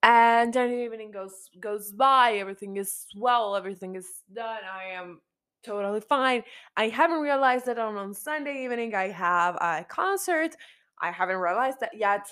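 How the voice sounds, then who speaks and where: A young woman with a slightly raspy, hoarse voice hosting her podcast in casual chat, repeating herself and restarting her sentences. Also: on the high side, normal speed, fairly bright tone. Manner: chatty, repeats herself, frequent restarts and fillers. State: hoarse after a concert. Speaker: podcast host. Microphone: studio microphone.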